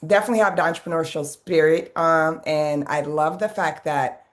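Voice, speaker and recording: A woman's voice: low-pitched, fairly even intonation, normal speed. A woman in her fifties, raised in Sweden, chatting as a guest in an interview about her background and business. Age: 50s. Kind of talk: casual interview.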